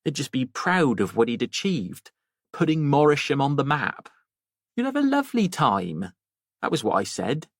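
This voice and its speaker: Man voicing a chatty woman gossiping, pitched up. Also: big pitch swings, normal speed, no echo, studio quality. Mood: fond, gossipy. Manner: talkative, rambling, conversational. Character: chatty working-class woman gossiping about a neighbour. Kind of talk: audiobook character voice.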